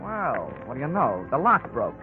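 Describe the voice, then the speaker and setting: An actor playing a tough, wisecracking insurance investigator, wry and sardonic, in a 1940s radio detective drama. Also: male voice, medium pitch, huge pitch swings, normal speed, soft background music. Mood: wry narrating. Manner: wry, hard-boiled, sardonic. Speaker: lead investigator and narrator. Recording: tinny 1940s radio recording.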